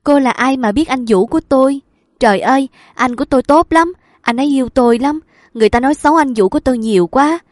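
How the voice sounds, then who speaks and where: A woman voicing a distraught young woman in a hospital who pleads, high-pitched and loud, for her lost love, in an audiobook reading. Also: expressive, measured pace, dry recording, studio quality. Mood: anguished.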